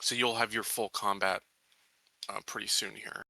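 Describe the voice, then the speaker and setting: A man's voice: lowish voice, flat delivery, normal speed, gravelly, pushed and bright, dry recording. A man chatting casually as a player in a tabletop roleplaying game.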